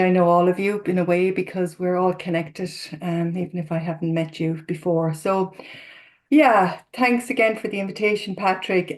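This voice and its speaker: A middle-aged Irish woman, a therapist, giving an earnest, openly personal talk to an online recovery group over a video call. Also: medium pitch. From Ireland. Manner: earnest, open and self-disclosing. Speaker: therapist and guest speaker.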